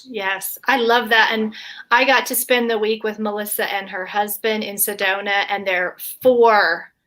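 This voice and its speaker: A woman's voice: medium pitch, steady tone, unhurried, a bit bright. A woman hosting a casual video call panel from home, speaking with enthusiastic praise for her guests. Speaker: host.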